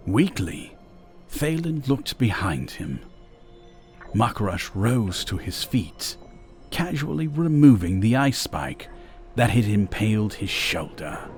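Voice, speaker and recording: Male narrator for fantasy drama: measured, very unhurried, expressive delivery, clear music bed underneath. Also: on the low side. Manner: measured, deliberate storytelling.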